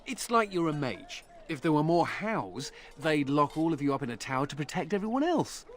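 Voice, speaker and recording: A man's voice: fairly high pitch, very animated, moderate pace. A man playing a cheeky, sardonic mage who talks in a glib, teasing way in a fantasy video game. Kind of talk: video game party banter.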